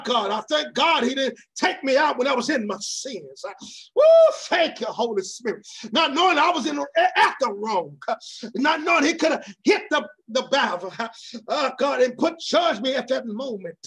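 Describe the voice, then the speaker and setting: Husky, very high-voiced fervent preacher man. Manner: fervent, cries out "Oh, God" between phrases; swings between shouts and hushed drops. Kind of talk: impassioned sermon.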